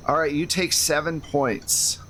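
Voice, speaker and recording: A man's voice: on the high side, fairly even intonation, measured pace, pushed and bright, slight background noise, low-quality recording. A man narrating as game master of a tabletop roleplaying game, improvising scenes and talking to his players.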